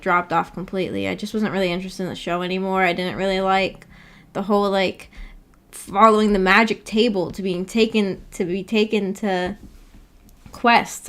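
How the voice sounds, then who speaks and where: A young adult woman chatting casually on a livestream, rambling informally and reading viewer comments aloud as she goes. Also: mid-range voice. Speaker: VTuber livestreamer.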